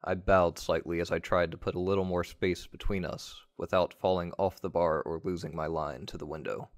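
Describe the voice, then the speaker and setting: A man with a low-pitched voice narrates a dramatic first-person tale. His delivery is measured and wry, in the manner of a radio play. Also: steady tone. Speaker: narrator of an audio story.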